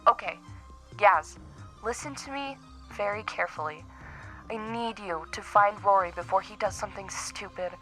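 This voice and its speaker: A woman's voice: mid-range voice, moderate pace, a bit bright, faint music behind, natural voice. A woman playing a determined, worried mother who is a space scientist in an audio drama. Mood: tense, urgent.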